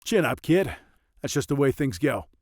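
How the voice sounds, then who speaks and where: A man's voice: medium pitch, sing-song, moderate pace, a little mellow, dry recording, clean studio sound. A man playing a blunt, world-weary movie agent in a comic dub, with a matter-of-fact way of talking. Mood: blunt, consoling. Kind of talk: animated comic dub acting.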